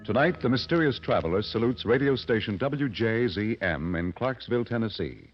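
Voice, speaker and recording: A man's voice: lowish voice, expressive, moderate pace. A man announcing formally and emphatically in scripted 1940s network radio style. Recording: muffled vintage radio broadcast. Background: music.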